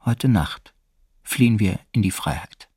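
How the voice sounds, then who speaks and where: An actor playing a grave male prisoner, telling his account deliberately with pauses in a documentary radio play. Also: fairly low pitch, expressive, mellow, husky, no echo, studio quality. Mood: tense, deliberate. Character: grave male prisoner recounting an escape plan. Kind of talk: slow dramatic narration of a testimony.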